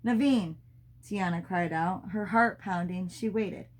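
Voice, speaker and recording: A woman's voice: on the low side, a little slow, slight background noise. A woman reading a children's storybook aloud expressively, as a mother does with her child.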